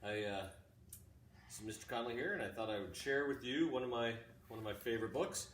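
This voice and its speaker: A middle-aged man, a teacher reading aloud in a deliberate way, pausing often between short phrases. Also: mid-range voice, fairly bright tone. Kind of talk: informal introduction and measured read-aloud of a classic book. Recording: roomy, distant mic, faint background noise.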